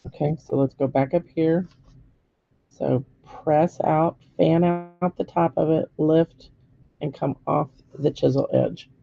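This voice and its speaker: A middle-aged woman with a hoarse voice, giving a live painting lesson step by step and checking in with viewers. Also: low-pitched, fairly even intonation, takes it slowly, dull audio. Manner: patient step-by-step instruction with frequent "okay?" checks. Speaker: painting teacher. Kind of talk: live-streamed painting demonstration.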